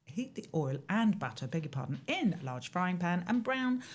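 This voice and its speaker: A woman hosts a radio show from the studio and talks through a recipe in a chatty, playful way. Her voice sits a little low, and her pitch rises and falls a lot as she talks. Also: big pitch swings, moderate pace. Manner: chatty and playful, laughs often. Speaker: radio presenter. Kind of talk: casual radio chat reading a recipe.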